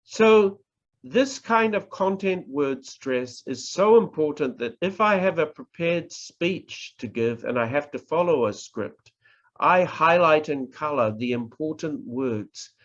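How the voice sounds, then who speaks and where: A man with a New Zealand accent giving a public speaking workshop, talking in a measured, deliberate way and stressing words to demonstrate. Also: a little high, animated. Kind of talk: slow instructional presentation. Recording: dull lo-fi recording.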